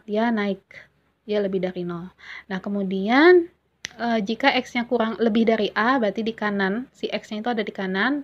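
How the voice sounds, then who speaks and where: High-voiced woman teacher, lo-fi sound. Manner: patient, self-correcting, stretched-out pauses. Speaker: math teacher. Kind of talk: explaining a worksheet lesson.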